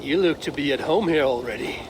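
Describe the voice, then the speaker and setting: A man playing a guarded, shrewd Viking clan leader in a video game, speaking in a measured, wry and formal way. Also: a little high, background noise, natural voice. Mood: wry, courteous. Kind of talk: video game dramatic dialogue. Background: background music.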